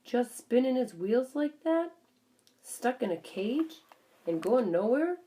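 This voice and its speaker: A woman's voice: on the high side, big pitch swings, slow pace, dark tone, slight background noise, pitched above the performer's own voice. A woman voicing a grim, bitter older husband who grumbles and complains in a children's audiobook. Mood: grim, irritated. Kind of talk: children's audiobook character dialogue.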